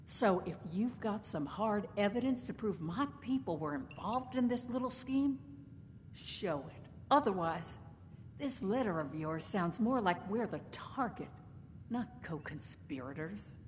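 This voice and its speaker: An actress playing a proud, shrewd caravan company boss in a video game, with a folksy, sardonic, wordy way of talking. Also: female voice, mid-range voice, expressive, a little slow, big-room sound, a little hiss or hum, natural voice. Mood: defiant. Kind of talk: video game character dialogue.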